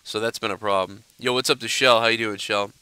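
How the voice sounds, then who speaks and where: Blunt, ranting man with a bright, clear voice. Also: fairly low pitch, fairly even intonation, a little fast, no echo. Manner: blunt, ranting, loose and profane. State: stuffed up, a little nasal. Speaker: livestream host answering viewer questions. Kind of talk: casual live-stream chat.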